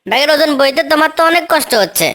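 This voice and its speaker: Little tailorbird heroine female actor, cartoon fable: kind, determined, eager, high-pitched voice. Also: animated, very fast, fairly bright tone. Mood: caring.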